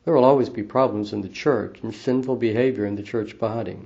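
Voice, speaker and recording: Man, church minister, quiet voice, calm and measured, warm mellow tone, slightly muffled recording. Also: fairly low pitch, gravelly. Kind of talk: scripted devotional talk.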